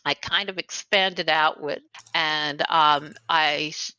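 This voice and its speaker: A woman's voice: deep voice, steady tone, slow pace, sharp tone, clean tone. An older woman from New City, a guest talking casually in an interview, with many fillers and restarts. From New York City. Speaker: guest, author and educator. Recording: dull lo-fi sound.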